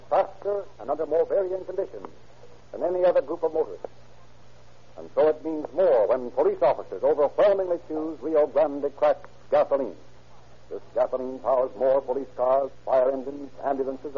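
A man's voice: a little high, lively intonation, brisk, long pauses, husky. A man announcing on 1940s radio with an emphatic, promotional delivery. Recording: tinny old radio with faint music.

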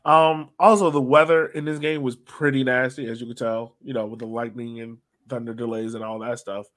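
A man's voice: medium pitch, flat delivery, a little fast, a bit bright. A man hosting a podcast, chatting casually about sports and putting questions to his co-hosts. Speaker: podcast host.

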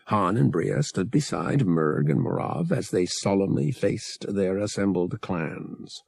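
Male sci-fi audiobook narrator, creaky and animated in pitch, measured storytelling, very dry close sound. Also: no room sound. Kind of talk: scripted narration of a science fiction novel.

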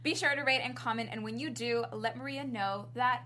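A woman's voice: on the high side, fairly quick, slight background noise. A woman co-host on a talk panel, doing an upbeat promotional read. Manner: upbeat, bright promotional delivery. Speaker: podcast co-host. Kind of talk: reading an ad read.

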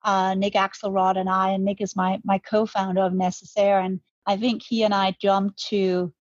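A woman's voice: medium pitch, little pitch movement, normal speed, dry recording, lo-fi sound. A woman, talking reflectively as an interview guest, often restarting her words. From Denmark. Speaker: guest, brand co-founder. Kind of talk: conversational interview.

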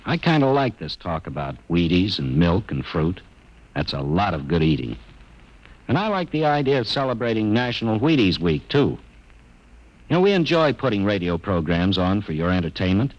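A man's voice: sits low, low-quality recording. A man giving a folksy, scripted endorsement in an old radio commercial.

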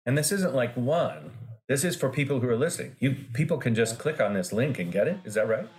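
A man's voice: mid-range voice, expressive, brisk, darkish tone. A man hosting a podcast interview, playful and jokey, with enthusiastic praise for his guest. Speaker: podcast host.

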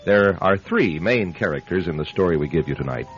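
Male radio mystery host: low, animated, suspenseful, measured delivery over music. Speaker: announcer and host. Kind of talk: dramatic scripted radio mystery introduction. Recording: dull radio recording with music.

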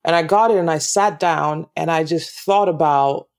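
A Nigerian-accented woman from Nigeria, a guest actress chatting reflectively in an interview, laughing easily and pausing with ums. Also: sits low, darkish tone, smooth. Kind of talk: casual interview chat.

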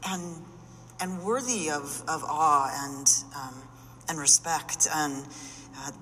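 A woman's voice: low-pitched, slow pace, sharp tone, long reverb tail, a little hiss or hum. A woman in her fifties, a rabbi, speaking emphatically and searching for words in a panel discussion. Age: 50s.

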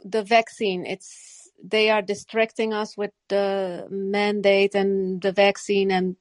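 A woman's voice: mid-range voice, little pitch movement, slow, no room sound. A woman from Morocco, raised in the Netherlands, talking earnestly in a casual chat and often repeating and restarting her phrases.

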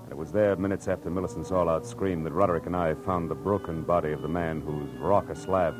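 An actor as a deep-voiced hard-boiled American detective. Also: male voice, fairly even intonation, quick pace, music in the background, natural voice. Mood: grim, measured. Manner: terse, dry, wisecracking. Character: hard-boiled, wry, commanding male private detective. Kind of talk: 1940s radio detective acting and first-person narration. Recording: noisy old radio recording.

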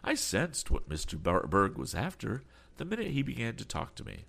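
A man voicing a calm, polite older sea captain who keeps adding apologetic asides. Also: on the low side, normal speed, creaky, pitched above the performer's own voice, exaggerated voice.

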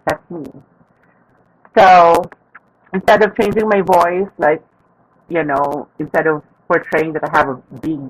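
A woman who works as a physical therapist, candidly telling a personal story in a panel talk with a feminine-sounding voice and soft fillers. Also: sits low, rough recording.

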